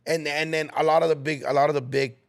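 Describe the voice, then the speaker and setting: A man's voice: on the high side, level tone, fast, vocal fry, clean tone. A young man whose first language is Spanish, chatting casually with slang and frequent restarts. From United States. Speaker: podcast guest. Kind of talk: casual chat.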